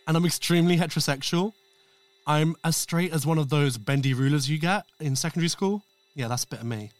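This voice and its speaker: A man's voice: on the high side, a little fast, faint music behind. Camp, sassy man hosting. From England, east London. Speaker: podcast host. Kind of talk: scripted personal narration.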